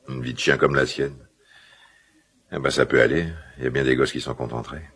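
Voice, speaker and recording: Gruff tender dad in a radio play, super low raspy voice, halting and musing. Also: male voice, steady tone, fairly bright tone, exaggerated voice. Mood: fond, mildly grumbling. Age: middle-aged. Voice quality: gravelly, husky. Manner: hesitant, musing, halting phrases. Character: gruff, tender, old-fashioned father of a teenager. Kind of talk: naturalistic French radio drama acting.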